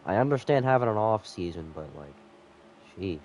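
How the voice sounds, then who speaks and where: A man's voice: on the low side, lively intonation, moderate pace, noisy background. A man sick with a cold, slightly nasal, rambling casually to viewers as he commentates a live game stream.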